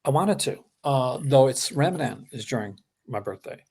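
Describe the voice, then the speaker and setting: Gravelly Canadian man, casual rambling chat. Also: mid-range voice, expressive, moderate pace, a little mellow. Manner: rambling, many fillers and restarts. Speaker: host. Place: home, live stream. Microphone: streaming call.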